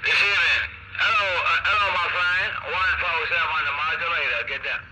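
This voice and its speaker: A man's voice: a little high, brisk. A man talking casually over a CB radio as an operator, in colloquial speech full of radio sign-offs and greetings. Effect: CB radio transmission. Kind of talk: casual radio call-outs and chat. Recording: noisy CB radio reception, heavy static.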